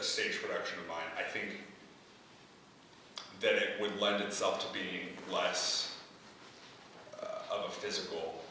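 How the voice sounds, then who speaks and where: A man's voice: low voice, bright, forceful tone. A man thinking aloud as a playwright in an unscripted discussion, hesitant and often rewording as he answers feedback. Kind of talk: unscripted post-reading discussion. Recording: echoey room, distant mic, background noise.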